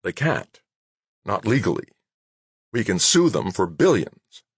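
A man voicing a distinguished, silver-haired corporate lawyer in an audiobook, mild, measured and placating. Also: on the low side, big pitch swings, husky, no room sound, voice pushed up, exaggerated voice. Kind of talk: audiobook character dialogue.

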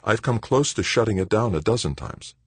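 A man's voice: on the low side, big pitch swings, fairly quick, vocal fry, slightly rough, dry recording, voice pushed up, heavily acted. A man voicing a weary, reluctant mother who is a corporate executive, in an audiobook story. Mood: dismissive.

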